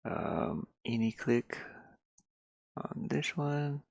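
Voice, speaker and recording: A man's voice: mid-range voice, lively intonation, drawn-out words, vocal fry, a little mellow, breathy edge, no echo, studio quality. A man casually thinking aloud as he works on a game, muttering to himself in short fragments. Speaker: hobby game developer sharing a work session. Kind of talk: casual think-aloud screen commentary while working.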